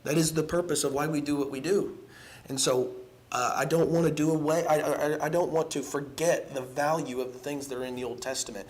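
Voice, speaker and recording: A hoarse young man giving an evening sermon in a church, earnest and halting, with many ums and restarts. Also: a little high, fairly even intonation. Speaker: preacher. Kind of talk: evening sermon with casual asides. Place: church sanctuary.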